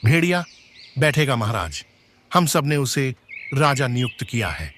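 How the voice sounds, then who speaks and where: A man's voice: mid-range voice, very animated, measured pace, fairly bright tone, a little hiss or hum. A man voicing a tiger who speaks for the jungle animals in a cartoon fable, deferential yet firm. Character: tiger subject, doubtful spokesman of jungle animals. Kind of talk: Hindi animated moral story dialogue.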